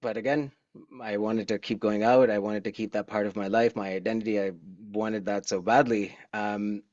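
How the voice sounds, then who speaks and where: A middle-aged man from Pakistan telling his personal story candidly and informally, with halting pauses, on a video call. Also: lowish voice, fairly even intonation, a little mellow, no room sound. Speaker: guest speaker. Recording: dull, dry video-call audio.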